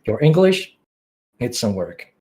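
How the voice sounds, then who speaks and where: A man's voice: medium pitch, big pitch swings, a little slow, warm tone, a little hiss or hum. A man with a Finnish accent, a non-native English speaker, answering podcast questions in a reflective way, often searching for words with fillers and restarts. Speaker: podcast guest, music producer.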